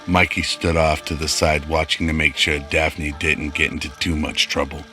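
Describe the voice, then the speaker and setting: A man reads scripted narration for a radio drama. His voice is exceptionally low, and music plays clearly behind him. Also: flat delivery, normal speed, pushed and bright.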